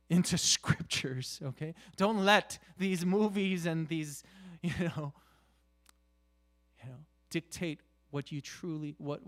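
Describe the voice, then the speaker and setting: Male preacher, slightly high voice, very unhurried, conversational yet emphatic, halting delivery with rhetorical questions. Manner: halting, with repeated "you know" and rhetorical questions. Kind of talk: conversational sermon.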